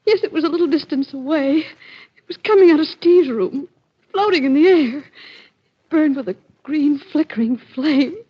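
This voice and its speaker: An actress playing a distraught, frightened wife in a 1940s radio mystery, with halting, melodramatic delivery. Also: female voice, high voice, lightly acted. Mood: horrified. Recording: dull, lo-fi 1940s radio recording.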